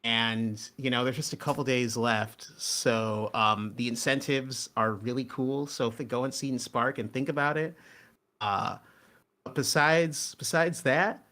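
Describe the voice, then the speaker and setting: Casting: male show creator, medium pitch, earnest and talkative, informal conversational delivery. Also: sharp tone. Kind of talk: casual chat.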